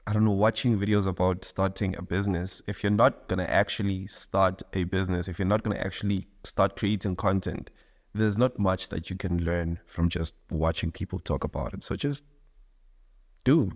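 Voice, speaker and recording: Male musician guest from South Africa, deep voice, laid-back slangy delivery. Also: normal speed. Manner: relaxed, informal, uses slang. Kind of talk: casual interview chat.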